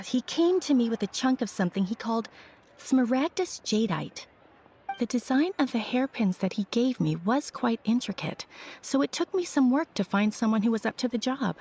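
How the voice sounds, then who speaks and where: An actress playing a helpful, courteous young go-between in a video game, explaining things in a forthcoming, polite way. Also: female voice, a little high, a little mellow, breathy edge.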